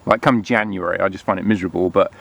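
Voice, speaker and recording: Man, thirties, lowish voice, casual off-the-cuff review, outdoor vlog. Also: steady tone, fast, vocal fry, a bit bright. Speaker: vlogger reviewing outdoor gear. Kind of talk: casual unscripted product review and chat. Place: outdoors in autumn woodland.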